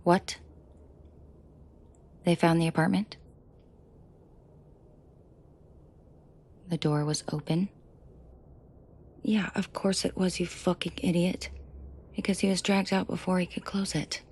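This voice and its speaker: A woman playing a protective mafia boss in an intimate audio drama, soft and breathy with frequent whispering, commanding and dryly teasing. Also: fairly low pitch, steady tone, a little slow, pauses often. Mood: irritated. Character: protective female mafia boss, menacing to henchmen, tender to victim.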